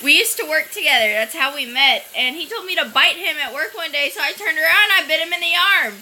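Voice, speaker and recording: A woman's voice: high-pitched, animated, quick pace, bright, forceful tone, slight background noise. A woman in her twenties chatting casually, teasing her partner in a playful way. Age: 20s. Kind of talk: casual chat.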